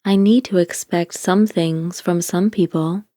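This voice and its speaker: Female guided-meditation narrator, lowish relaxed voice, calm deliberate delivery, very dry close recording. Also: no room sound. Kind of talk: scripted guided meditation, slow gentle instruction.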